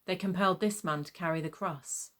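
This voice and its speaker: Female narrator for a dramatised gospel reading, slightly low pitch, solemn and measured delivery. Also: fairly bright tone. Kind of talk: scripted scripture narration.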